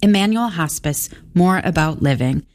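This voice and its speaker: A woman reading a scripted ad in a soft, gentle manner. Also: lowish voice, lively intonation, moderate pace. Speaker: ad announcer. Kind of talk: scripted ad read.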